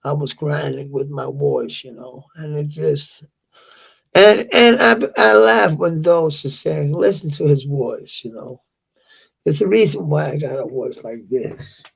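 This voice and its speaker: A man from Denmark with a Danish accent and a sore, gravelly voice, talking at length in a halting, rambling monologue. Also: a little high, muffled recording. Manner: halting, with many restarts and fillers. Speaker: vlogger.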